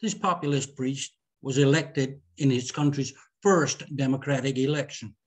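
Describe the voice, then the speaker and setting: Man reading measured radio newsreader. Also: medium pitch, close to an everyday voice. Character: male radio newsreader reporting a coup. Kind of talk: news bulletin read at a script table read.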